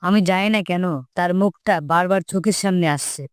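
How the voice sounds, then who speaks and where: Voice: medium pitch, fairly even intonation, fairly quick, clear, resonant tone, no echo, clean studio sound, lightly acted. A performer voicing an earnest, polite, kind-hearted schoolboy in a scripted cartoon. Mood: earnest, concerned.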